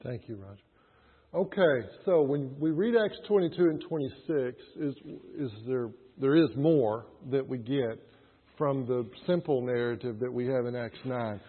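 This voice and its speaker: Husky man leading a Bible class. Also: medium pitch. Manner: prompting questions, halting restarts. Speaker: Bible study teacher. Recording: tinny lo-fi sound with hall reverb.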